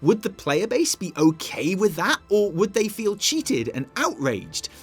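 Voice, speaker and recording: A man's voice: high-pitched, very animated, a little slow, husky, faint music behind. A man co-hosting a scripted gaming news show, wry and playful, questioning himself as he goes. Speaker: co-host.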